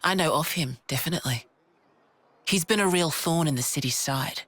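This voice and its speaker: An actor voicing a gruff, matter-of-fact, world-weary resistance leader in a video game cutscene. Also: male voice, a little high, moderate pace, a bit bright, dry recording. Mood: grim, guarded. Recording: dramatic game music behind voice.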